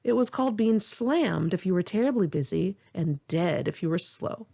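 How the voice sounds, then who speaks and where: A woman's voice: on the low side, animated, moderate pace, vocal fry, warm tone, husky, highs cut off. An American woman reads her own memoir aloud in an informal audiobook style, with a candid, wry, gossipy way of telling stories. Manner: candid, wry, gossipy storytelling with blunt swearing. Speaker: author reading own memoir. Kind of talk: scripted narration, informal audiobook reading.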